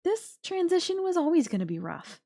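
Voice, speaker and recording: A woman's voice: very high voice, big pitch swings, brisk, dark tone, full character voice. A woman voicing a possessive yandere girlfriend in an audio roleplay, coaxing and teasing her captive with veiled threat. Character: possessive yandere girlfriend, sweetly menacing captor. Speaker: voice actor.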